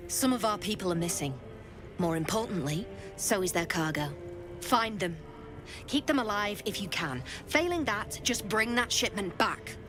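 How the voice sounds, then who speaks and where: An actress playing a blunt, threatening criminal boss, speaking in a businesslike way. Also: female voice, mid-range voice, lively intonation, normal speed, over music, close to an everyday voice. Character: tough criminal gang boss woman.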